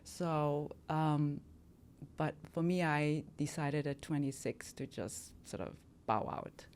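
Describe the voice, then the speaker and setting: Woman in her 50s from germany, kinda low voice, thinks out loud, lots of ums. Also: slow pace, slight background noise. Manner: reflective, hesitant, with many fillers. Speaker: guest model. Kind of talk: casual interview talk.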